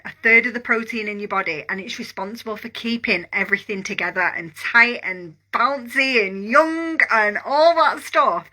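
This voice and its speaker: Bright, chatty woman, stuffy with a cold. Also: mid-range voice, rough recording. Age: 40s. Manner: chatty, enthusiastic, rambling with fillers. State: congested with a cold and sore throat. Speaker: online business coach. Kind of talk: casual vlog product pitch.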